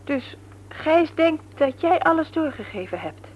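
An actress playing a practical, supportive woman of the household in a radio drama, with a husky voice. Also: high-pitched, animated, measured pace. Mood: concerned. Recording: dull, muffled radio recording.

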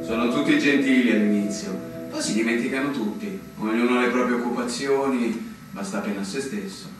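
A male actor playing a melancholy, resigned fortress officer in a radio drama, halting and brooding in a dreamy, poetic way. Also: mid-range voice, natural voice. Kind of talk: theatrical radio drama acting. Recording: very echoey, distant, music underneath.

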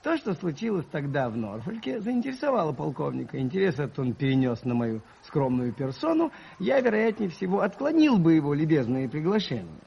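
Lively, expressive gentle priest. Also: male voice, a little high, creaky, breathy edge, exaggerated voice. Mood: modest, wry. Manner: modest, unhurried, hesitant, old-fashioned courtesy. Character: Father Brown, gentle modest priest telling an old tale. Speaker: actor in a radio play. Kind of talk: 1980s Soviet radio drama acting, storytelling. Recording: slightly muffled vintage radio recording.

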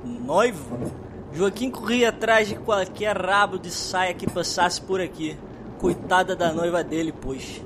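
An actor playing a nervous, deferential bar owner with a Brazilian accent, insisting respectfully in audio drama dialogue. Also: male voice, high voice, measured pace, noisy background. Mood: frank, respectful. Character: nervous, defensive male bar owner, plain-spoken and respectful.

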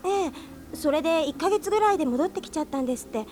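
An earnest young girl with a high voice, in a radio drama. She speaks politely and carries an anxious edge, as a niece telling her own story. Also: expressive, fast talker, a little mellow, slight background noise. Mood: anxious, pressing. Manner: polite, questioning speech to elders in dialogue. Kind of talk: Japanese radio drama acting with first-person narration. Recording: 1990s FM radio drama on cassette.

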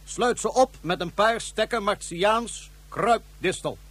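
A man's voice: high voice, slow, a bit bright, no room sound, exaggerated voice. A man playing a cold, ruthless Martian ruler in a science fiction radio drama, formal and commanding. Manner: formal, commanding, feigned humility.

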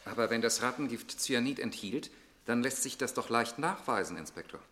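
An actor playing a sceptical, argumentative stepson in a 1960s German radio play, questioning in a pointed, challenging way. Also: male voice, medium pitch, animated, normal speed, a bit bright, close to an everyday voice.

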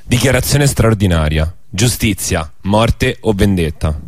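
Low, dry-voiced solemn man acting a rebel leader. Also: lively intonation, unhurried, dry recording. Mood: solemn, accusing. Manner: formal, solemn, declamatory. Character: grave male rebel leader reading a workers' declaration. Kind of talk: radio drama reading.

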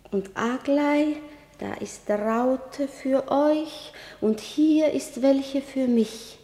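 A grief-maddened young girl in a classical radio play. Her very high, fragile voice moves extremely slowly, slipping between lilting song, laughter, weeping and whispers. It all rings in a long hall reverb. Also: darkish tone, exaggerated voice. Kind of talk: German classical radio drama acting.

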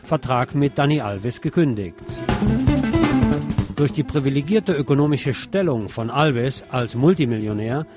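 A man's voice: mid-range voice, lively intonation, unhurried. Man presenting radio news over music. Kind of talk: scripted news reading with opinionated asides.

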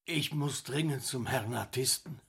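An actor playing a nervous, aggrieved little theatre buffet manager in a radio drama, husky, flustered and apologetic. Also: male voice, mid-range voice, measured pace, fairly bright tone, heavily acted.

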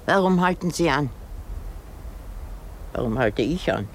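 A woman's voice: low-pitched, lively intonation, measured pace, a little hiss or hum. An actress playing an old, self-pitying, hysterical married woman in a radio drama, rambling theatrically with sighs and exclamations. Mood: fretful, chatty. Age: older.

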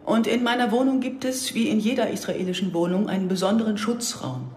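A woman's voice: mid-range voice, fairly quick, a little mellow, natural voice. Echoey actress as a plainspoken mother. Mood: matter-of-fact, uneasy. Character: slightly anxious Israeli mother and wife. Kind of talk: radio drama monologue. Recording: echoey room, faint noise.

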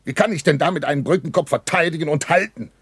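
Worried army captain, dry sound. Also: male voice, on the high side, fast talker, breathy edge, dry recording. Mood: gloomy, anxious. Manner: earnest, urgent, formal with superiors. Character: worried, dutiful German army captain under pressure, male. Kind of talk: 1960s German radio play acting. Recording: dry early 1960s radio studio.

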